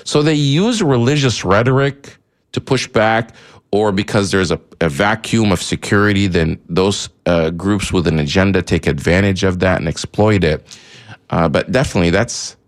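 A man from Egypt, Arabic his first language, hosts a call-in radio show. His voice sits very low with a gravelly fry. He rambles, backtracks and leans on fillers as he talks things through. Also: moderate pace. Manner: rambling, many fillers and restarts.

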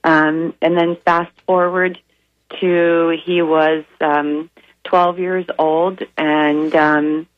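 Raspy, hoarse woman guest, earnest storyteller; dry, muffled phone sound. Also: deep voice, flat delivery, extremely slow, dry recording. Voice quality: raspy, slightly crackly. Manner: earnest, storytelling with many ums. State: hoarse from a weekend of events. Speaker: guest, animal charity founder. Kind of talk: radio interview.

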